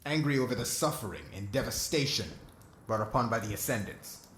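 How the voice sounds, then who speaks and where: A man playing a solemn, diplomatic king in an ASMR roleplay, speaking in a formal, measured, oratorical way. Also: mid-range voice, fairly bright tone, a little hiss or hum, natural voice. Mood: impassioned. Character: solemn diplomatic young king addressing subjects and friend.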